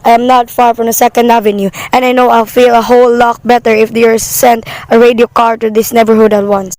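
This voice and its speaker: High-pitched, fretful housewife acted by a woman. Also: level tone, fairly quick, a little mellow, dry recording, close to an everyday voice, poor audio. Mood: insistent, demanding. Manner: fretful, insistent, wordy. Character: anxious, demanding invalid wife reporting an overheard murder plot. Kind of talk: amateur radio play acting.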